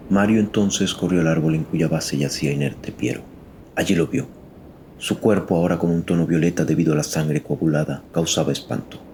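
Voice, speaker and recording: Male narrator, very low register, solemn suspense storytelling, faint ambient noise behind. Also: a little mellow. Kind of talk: dramatic scripted story narration.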